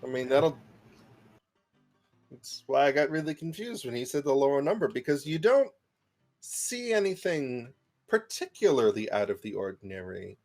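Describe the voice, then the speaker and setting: A man's voice: medium pitch, big pitch swings, moderate pace, a little mellow, soft background music. A man running a tabletop game as game master, teasing the players with dry humor.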